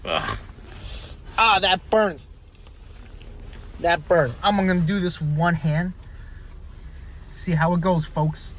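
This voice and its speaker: A man's voice: high voice, slow, pauses often, fairly bright tone. A man from Central New Jersey with a New Jersey accent, chatting casually and upbeat to his viewers in a vlog. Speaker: vlogger.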